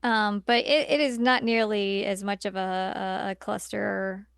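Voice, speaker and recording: A woman's voice: medium pitch, steady tone, unhurried, gravelly, smooth, no room sound, studio quality. A woman co-hosting a podcast in a casual, conversational style. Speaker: podcast co-host. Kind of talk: casual chat.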